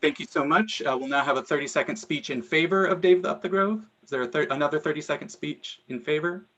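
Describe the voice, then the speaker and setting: A man chairing an online political meeting, calling briskly for short speeches for and against candidates. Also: fairly high pitch, fairly bright tone. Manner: brisk procedural calls for speeches.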